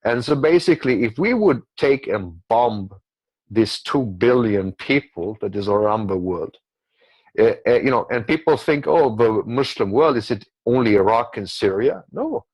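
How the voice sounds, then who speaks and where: A man's voice: medium pitch, lively intonation, moderate pace, a little mellow, breathy edge, no echo, low-quality recording. A man who is not a native English speaker gives rambling, repetitive, unscripted political commentary, often checking in with the listener. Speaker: guest commentator.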